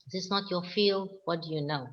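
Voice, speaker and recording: A South African woman winemaker answering questions on a video call, speaking slowly and with firm emphasis. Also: on the low side, lo-fi sound. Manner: slow, deliberate, emphatic. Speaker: winemaker guest.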